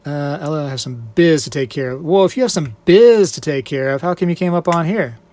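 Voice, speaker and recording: A man's voice: a little high, expressive, a little fast, warm tone. A man hosting a casual live stream, reading viewer comments aloud and answering them in a joking, rambling way. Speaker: livestream host.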